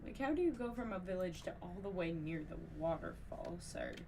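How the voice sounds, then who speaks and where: A woman's voice: on the low side, animated, brisk. A woman streaming a video game, giving casual live reactions. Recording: distant mic, faint noise. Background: game audio.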